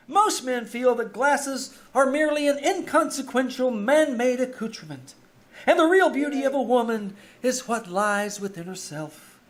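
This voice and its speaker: A woman playing an eager, cheeky teenage schoolboy with pompous flourishes in old-time radio comedy style. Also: fairly high pitch. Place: small town theater stage.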